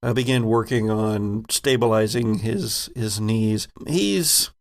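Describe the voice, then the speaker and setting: A man's voice: lowish voice, takes it slowly, gravelly. A man narrating a tabletop game as game master.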